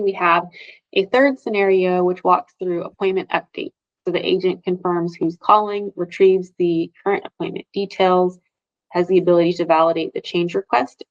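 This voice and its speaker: Low-pitched woman presenting on a muffled call. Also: dry recording. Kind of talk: business demo presentation, explanatory. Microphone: video call. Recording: band-limited video call audio.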